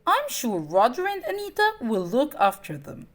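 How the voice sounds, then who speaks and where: A woman's voice: high voice, wildly swooping pitch, moderate pace, exaggerated voice. A woman voicing an anxious, protective mother dalmatian in a storybook, earnest and emphatic. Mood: resolute.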